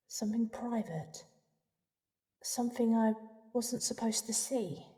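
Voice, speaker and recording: A woman with a British accent playing a lonely, introspective woman in an audio drama, speaking intimately with deliberate pauses. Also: medium pitch, dark tone, close to an everyday voice. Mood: reflective, uneasy. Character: lonely, introspective woman telling her dark love story. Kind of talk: dramatic first-person audio drama narration and dialogue.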